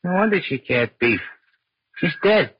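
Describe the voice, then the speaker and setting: An actor playing a greedy gangster henchman who talks in rough slang, in a 1940s radio crime drama. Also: a little high, sing-song, a little slow, very noisy. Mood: startled. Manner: slangy, rough tough-guy talk. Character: greedy male gangster henchman, eager for his cut. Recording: dull, lo-fi old radio recording.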